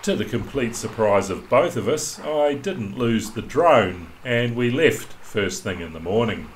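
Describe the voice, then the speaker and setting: A man in his fifties narrating his sailing trip in a relaxed, matter-of-fact way, speaking on a boat deck to a camera held at arm's length. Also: medium pitch, a little slow. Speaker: sailing vlogger. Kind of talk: casual travel narration. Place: open deck of a sailboat at sea. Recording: distant mic with wind and water noise. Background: boat and water noise.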